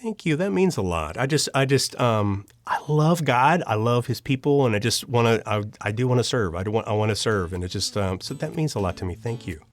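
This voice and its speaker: A man's voice: medium pitch, animated, a little fast, hardly any pauses, no echo. This is a man with a mid-range voice, answering as a podcast guest. He talks in an earnest, encouraging, conversational way. The recording is dry, with very little room sound. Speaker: podcast guest, audio drama storyteller. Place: recording studio.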